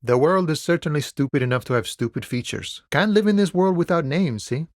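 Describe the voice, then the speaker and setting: An easygoing male harbor striker from a video game, a lazy philosopher with wry, folksy musings. His voice sits a little high for a man and moves with very lively, swinging intonation. The sound is close and dry, with no room on it. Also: a little fast, no echo. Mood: musing, friendly. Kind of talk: video game dialogue acting.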